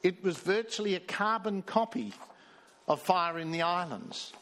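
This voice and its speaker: A man with a high-pitched voice delivers a storytelling sermon. He reads quoted passages aloud with emphasis and speaks as the church's minister. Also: measured pace, fairly bright tone, a little hiss or hum. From Australia. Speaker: pastor.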